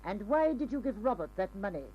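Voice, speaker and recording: An actress playing an imperious, unflinching older wealthy aunt, formal and commanding, in an old-time radio drama. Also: female voice, mid-range voice, very animated, fairly quick. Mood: demanding. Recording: noisy, dull old radio broadcast.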